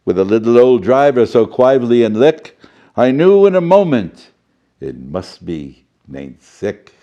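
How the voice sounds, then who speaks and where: Man playing a slow, theatrical old-school professor storyteller. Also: mid-range voice, very animated, warm tone, muffled recording. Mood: dramatic, excited. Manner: theatrical, fussy, chatty, tag questions, jumbled words. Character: doddering, jolly, theatrical male professor storyteller reading to children. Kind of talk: children's storybook reading with playful asides.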